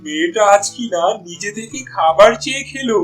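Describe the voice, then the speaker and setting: Cartoon folk-tale fisherman male actor: high-pitched, weary, plaintive loving father, music underneath. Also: lively intonation, a little fast, fairly bright tone. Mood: happy, eager. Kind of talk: cartoon voice acting.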